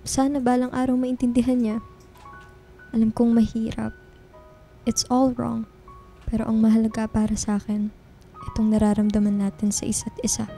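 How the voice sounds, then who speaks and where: Woman acting guilt-ridden young woman in love, radio drama, earnest, emotional, fairly high, narrow melody. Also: level tone, moderate pace, pauses often, mellow, lightly acted. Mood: guilty, pleading. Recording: music under the voice. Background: background music.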